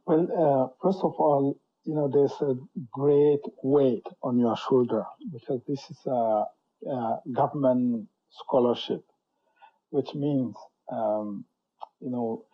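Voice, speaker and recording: A middle-aged man from Cameroon, a professor and interview guest, telling his story in a measured way, full of "you know". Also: mid-range voice, husky. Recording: dull lo-fi sound.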